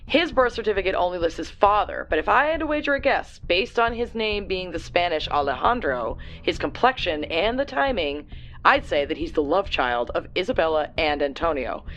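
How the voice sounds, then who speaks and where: A woman's voice: on the low side, big pitch swings, moderate pace, fairly bright tone, muffled recording, soft background music. An actress playing a brash, tech-savvy young woman who gives wry, slangy briefings in an audio drama. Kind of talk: audio drama acting, conversational exposition.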